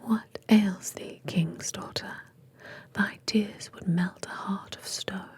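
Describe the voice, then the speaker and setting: A woman voicing an earnest male storybook frog in a breathy whisper, with a British accent and courtly, measured phrasing. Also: medium pitch, fairly even intonation, darkish tone. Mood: solicitous. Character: male talking frog, earnest, pleading, old-fashioned British.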